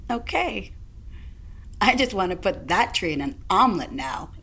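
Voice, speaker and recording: A woman's voice: mid-range voice, very animated, measured pace, bright, forceful tone, slightly rough, full character voice. A woman playing a wry, understated newcomer taking in strange sights, in an audio drama. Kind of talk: naturalistic audio drama acting.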